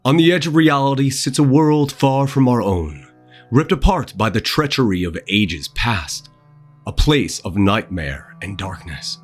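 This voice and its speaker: Theatrical man, wildly animated delivery. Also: medium pitch, unhurried, soft background music. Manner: theatrical, intense, playful. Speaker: host and author reading own novel. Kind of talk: theatrical chat leading into dramatic narration. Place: small dim home room. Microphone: desk condenser mic with pop filter, close up.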